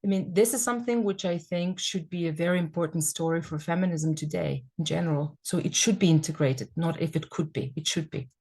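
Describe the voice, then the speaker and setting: Female panel guest, native Serbian speaker, slightly low voice, hesitant and reflective. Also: fairly quick, few pauses, darkish tone, husky. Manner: thoughtful, hesitant, many fillers. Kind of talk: academic panel discussion.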